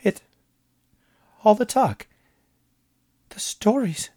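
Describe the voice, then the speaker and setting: A man voicing an excitable, jovial businessman who gushes in a high voice. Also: moderate pace. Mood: alarmed. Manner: high, gushing and breathless.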